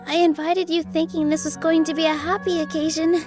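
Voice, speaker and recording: A woman voicing a gentle, shy young dancer who sounds soft-spoken and unsure of herself, in a video game with music behind her. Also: very high voice, little pitch movement, fairly quick. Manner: soft-spoken and self-doubting.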